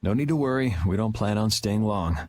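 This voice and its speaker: A man voicing a cool, resolute young swordsman in a video game. His voice is lowish and his talk is blunt and steady. A faint hum of ambience sits behind him. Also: brisk, lightly acted. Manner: calm, blunt and self-assured.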